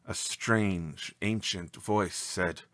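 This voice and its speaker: A man reading a scripted story as narrator, with an expressive, measured and dramatic delivery. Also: low voice, dry recording.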